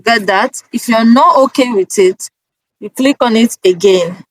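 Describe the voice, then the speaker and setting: A woman hosting a casual software walkthrough, talking step by step in a halting way and often checking in with an okay. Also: fairly high pitch, a little hiss or hum. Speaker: tutorial host.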